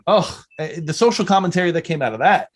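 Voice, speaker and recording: A man's voice: high-pitched, animated, fast. A man hosting a podcast, chatting casually in a salty, opinionated and effusive way. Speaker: podcast host. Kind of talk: casual interview chat.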